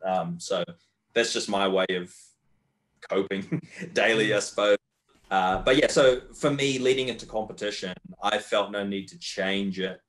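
A low-voiced young Australian man chatting casually on a podcast. He talks candidly, wanders through his thoughts with restarts, and drops the odd swear word. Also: measured pace, fairly bright tone, poor audio. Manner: candid, rambling, swears freely. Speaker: guest powerlifter.

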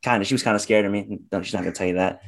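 A young man, a guest on a podcast, talking casually and haltingly with many fillers. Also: fairly low pitch, steady tone, crowd noise. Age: young adult. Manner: halting, lots of "like" and "uh".

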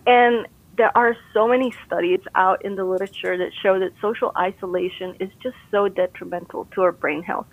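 A woman's voice: medium pitch, fairly even intonation, fairly quick, few pauses, no echo. Upbeat woman on a tinny phone line. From Costa Rica. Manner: upbeat, encouraging, laughs easily. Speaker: brain health expert guest. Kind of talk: casual interview advice.

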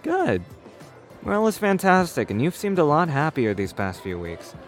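A man's voice: on the high side, wildly swooping pitch, moderate pace, soft background music. A man playing an outspoken, principled young friend with a dry manner in an audio drama. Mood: supportive.

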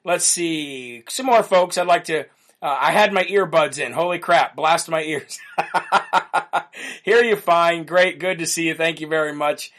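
Loud, rambling man hosting a livestream. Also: a little high, measured pace. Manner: rambling, loose, with many fillers and restarts; self-described loud voice. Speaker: host of a live political show. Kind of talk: casual livestream talk, reading viewer comments.

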